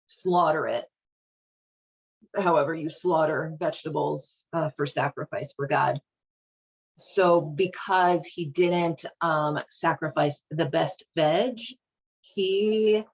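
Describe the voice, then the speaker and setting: A woman giving casual, irreverent commentary as a host, with a sardonic, halting, colloquial delivery. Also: fairly low pitch, lo-fi sound. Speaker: host of a video series on leaving religion.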